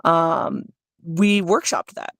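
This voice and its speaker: A woman audiobook narrator with a low, fry-heavy voice, answering interview questions in a candid, self-deprecating, chatty way. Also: slow, a bit bright, no room sound, studio quality.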